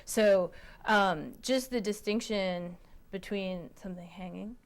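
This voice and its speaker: A woman's voice: medium pitch, slow. A woman speaking as a guest puppeteer, explaining and demonstrating in a hesitant, stop-start way. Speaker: guest puppeteer and theatre director.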